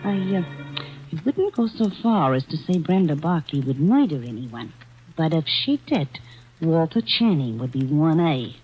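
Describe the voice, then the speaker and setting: An actress playing a catty, gossiping secretary in an old radio drama. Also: female voice, sits low, sing-song, a little slow. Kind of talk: 1940s radio acting. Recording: lo-fi old radio with music underneath.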